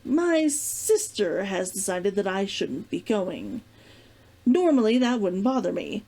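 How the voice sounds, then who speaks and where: A woman's voice: mid-range voice, big pitch swings, slow, mellow, full character voice, poor audio. A woman voicing a wry young woman speaking informally to an old flame in a storybook reading. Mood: exasperated.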